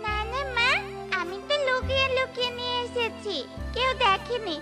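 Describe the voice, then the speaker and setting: A woman's voice: extremely high pitch, normal speed, over loud music. A woman voicing an eager, innocent little girl bird in a cartoon, childlike and chirpy. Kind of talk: cartoon voice acting.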